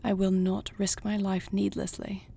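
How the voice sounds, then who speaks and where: Husky, gravelly warrior queen played by a woman. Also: medium pitch, completely flat delivery. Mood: earnest, reassuring. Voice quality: husky, breathy. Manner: measured, softly earnest, slightly formal phrasing. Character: noble warrior queen, devoted wife, steady and tender. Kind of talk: intimate fantasy audio roleplay acting.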